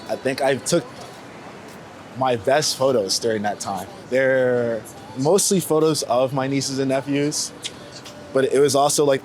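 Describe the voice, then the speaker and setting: Candid American man chatting over street noise. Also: medium pitch. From United States, Florida. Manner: informal and candid, with slang and swearing. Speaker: street photographer being interviewed. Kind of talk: casual reflective chat. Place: outdoors on New York city streets. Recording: outdoor street noise with passing vehicles. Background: city traffic ambience.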